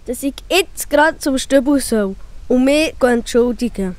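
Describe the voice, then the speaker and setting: A woman's voice: high-pitched, animated, measured pace, no echo. A woman voicing a young schoolboy, honest and eager, with a Swiss German accent, in a children's audio play. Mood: relieved, eager.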